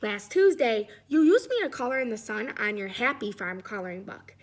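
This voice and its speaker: A woman's voice: a little high, big pitch swings, moderate pace. Woman reading as an indignant, boastful crayon. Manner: emphatic, self-righteous. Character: indignant boastful Yellow Crayon, insisting he is the sun's color.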